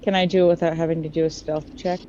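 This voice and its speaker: A woman's voice: low-pitched, flat delivery, fast talker, dark tone, muffled recording, slight background noise. A woman chatting casually as a player in a tabletop roleplaying game.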